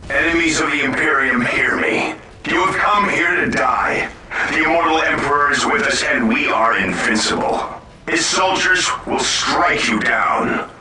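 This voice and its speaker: A zealous, defiant general declaims a bombastic game war speech. The voice is piercingly forceful and heavily echoed, as if blaring from distant loudspeakers. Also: male voice, fairly high pitch, measured pace. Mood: defiant, triumphant. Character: defiant, zealous male army general rallying against invaders. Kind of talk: rousing military speech in a video game. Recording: distant, echoing loudspeaker-like broadcast, faint music.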